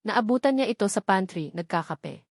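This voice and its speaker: A woman's voice: mid-range voice, expressive, normal speed, dry recording, studio quality. A woman reading a scripted romance story as a dramatic narrator.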